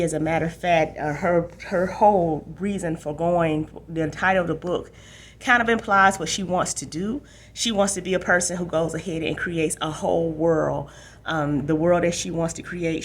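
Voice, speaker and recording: Female library host, voice a touch on the low side, casual book talk and read-aloud for young readers. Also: slight background noise.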